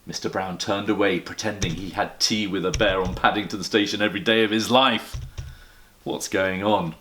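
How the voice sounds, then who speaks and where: A man's voice: fairly low pitch, fairly even intonation, a little fast, fairly bright tone, slight background noise. A man, a headmaster, reading a children's story expressively and addressing the listeners directly.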